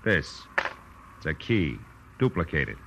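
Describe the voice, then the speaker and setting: A man's voice: rumbling low, sing-song, slow pace, background noise, exaggerated voice. A man playing a tough, wry American private detective in a 1940s-style radio drama, clipped and wisecracking. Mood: menacing, commanding. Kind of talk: 1940s radio drama acting and noir narration.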